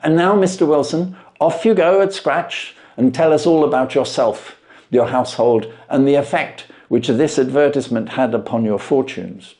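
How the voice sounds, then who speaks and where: A man voicing a brilliant, self-assured gentleman detective from a storybook, precise, articulate and dryly amused. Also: medium pitch, moderate pace, pitched above the performer's own voice, natural voice. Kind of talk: storybook character voice.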